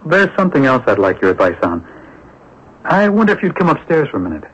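An actor playing an eager, secretive neighbour obsessed with a mystery in a radio drama, speaking earnestly, with hesitant, insinuating turns. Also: male voice, medium pitch, very animated, fast, close to an everyday voice. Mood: eager, coaxing. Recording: dull lo-fi old radio broadcast recording.

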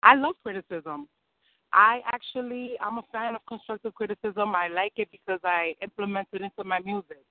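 A woman's voice: mid-range voice, steady tone, dry recording. Upbeat New woman, tinny phone sound. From New York City. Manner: upbeat, eager, quick to laugh. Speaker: singer and rapper guest. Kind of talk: casual interview answers.

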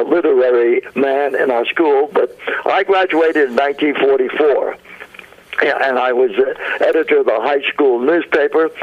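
A man in his nineties from Brooklyn with a New York accent, a guest telling long stories over the phone, with plenty of hesitations and chuckles. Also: medium pitch. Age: 90s. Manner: rambling, chuckling storyteller with frequent hesitations. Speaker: guest, booking agent. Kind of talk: phone interview. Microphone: telephone. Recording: muffled phone line.

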